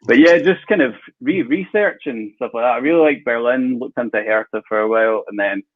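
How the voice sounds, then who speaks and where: A man's voice: mid-range voice, a little fast. A man with a Glasgow Scottish accent, a football fan guest chatting casually on a podcast, easygoing with filler words. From Scotland. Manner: easygoing, with frequent fillers and light laughs. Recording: tinny phone-like call audio.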